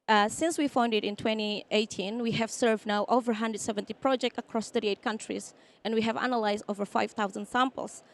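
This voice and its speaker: A woman pitching her company on stage, explaining steadily with small fillers. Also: fairly even intonation, a little fast. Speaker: startup founder pitching. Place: outdoor stage.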